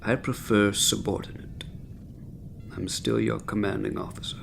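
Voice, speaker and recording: An actor playing an arrogant, sardonic middle-aged chief medical officer who drinks, with pompous, biting wit, in a sci-fi comedy audio drama. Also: male voice, on the low side, little pitch movement, normal speed, gravelly, in a whisper. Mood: sarcastic. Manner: biting, droll sarcasm with pompous wording.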